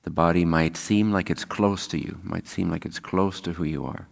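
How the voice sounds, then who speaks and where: A man leading a guided meditation as a spiritual teacher, speaking calmly and unhurriedly with long pauses. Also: low voice, creaky. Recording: long hall-like reverb.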